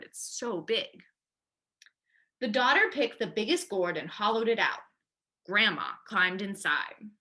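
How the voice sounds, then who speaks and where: A woman's voice: medium pitch, bright, forceful tone. A woman reading a children's story aloud expressively, as a librarian does at storytime.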